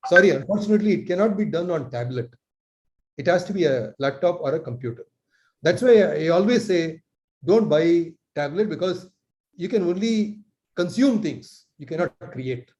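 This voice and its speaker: A man's voice: a little high, animated, darkish tone, muffled recording. Earnest Indian man on a video call. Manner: earnest, many filler pauses. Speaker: lecturer. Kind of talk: online meeting talk.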